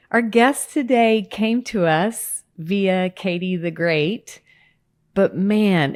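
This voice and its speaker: A woman from Guatemala hosting a podcast, upbeat and encouraging, quick to laugh. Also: lowish voice, darkish tone. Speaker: podcast host.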